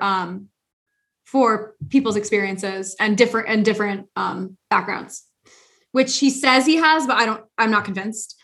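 Bright-voiced woman telling a story. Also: on the high side. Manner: rambling, animated storytelling with many restarts. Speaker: podcast co-host. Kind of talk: casual chat.

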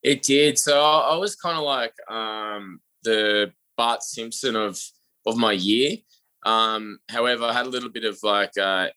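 A young Australian man, a podcast guest, telling his story in an upbeat, energetic way with frequent fillers. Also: lowish voice, rough recording. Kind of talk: casual interview talk.

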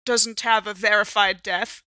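A woman's voice: medium pitch, steady tone, measured pace, pushed and bright, no room sound. A woman improvising casual in-character dialogue as a player in a tabletop horror game. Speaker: player in an actual play podcast.

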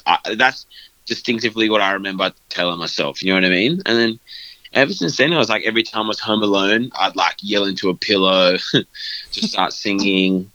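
Young male, low-ish bright voice, rambling casual talker, dull dry recording. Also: no echo. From Australia. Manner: loose and rambling, many fillers and "like". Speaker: guest band vocalist. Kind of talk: casual interview chat.

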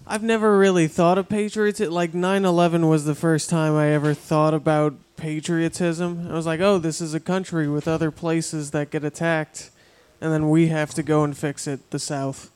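Smooth, slightly high American man. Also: little pitch movement, normal speed, hardly any pauses, darkish tone. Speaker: show guest. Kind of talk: casual chat.

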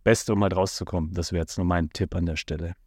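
A man talking calmly and at length on a casual podcast, giving advice. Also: deep voice, husky, no echo, clean studio sound. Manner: calm, measured, explains at length with fillers. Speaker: podcast co-host.

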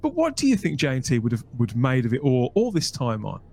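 A man's voice: medium pitch, huge pitch swings, fast talker, gravelly, a little mellow, slight background noise. A man hosting a podcast, interviewing guests conversationally in long, wordy questions with repeated phrases. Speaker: podcast host.

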